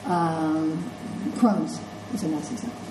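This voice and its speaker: A woman's voice: deep voice, slow pace, noisy background. A middle-aged woman with a congested voice, giving a conversational classroom lecture as a nursing instructor and checking in with her students as she goes. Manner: conversational, checks in with "okay?" and "right?". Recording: echoey classroom with faint ambience.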